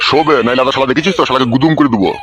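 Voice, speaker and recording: A man's voice: medium pitch, rapid-fire, fairly bright tone, natural voice. A man voicing a crude, bossy father-in-law in a comic cartoon, cursing and giving orders. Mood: irritated, cursing. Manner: gruffly cursing, commanding. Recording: dull, lo-fi sound.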